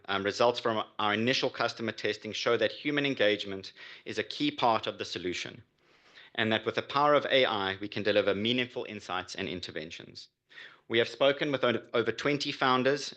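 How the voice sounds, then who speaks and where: Guy doing a startup pitch, prepared speech, bright punchy voice, a bit low. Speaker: startup founder giving a pitch. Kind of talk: prepared business pitch presentation.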